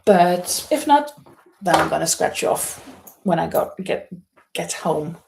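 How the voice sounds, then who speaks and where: A woman's voice: on the low side, very animated, measured pace, creaky, background noise. A woman from Norway with a Norwegian accent, hosting a casual livestream and chatting in a rambling, hesitant way as she reads out viewer comments. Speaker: livestream host. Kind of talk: casual chat while knitting, reading out viewer comments. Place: indoors at home in a holiday stay.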